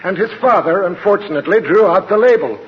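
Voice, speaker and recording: High-voiced stage-Irish uncle, old radio. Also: male voice, lively intonation, fast. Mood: cheerful, storytelling. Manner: theatrical stage-Irish brogue, flowery sweet talk. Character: jolly, blarney-spouting Irish uncle, cheeky and confused. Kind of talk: 1940s radio comedy acting. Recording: muffled, noisy 1940s radio recording, studio audience.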